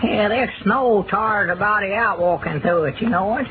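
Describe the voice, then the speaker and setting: A man's voice: high voice, normal speed, natural voice. Male actor for grumbling but kind rural Southern fellow, folksy and hesitant, thin old-time radio sound with plenty of noise. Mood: weary. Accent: rural Southern American. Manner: folksy, hesitant, repetitive country talk. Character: good-hearted, grumbling, easily tired country bumpkin man. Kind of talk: 1940s radio acting. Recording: tinny, hissy old radio broadcast recording.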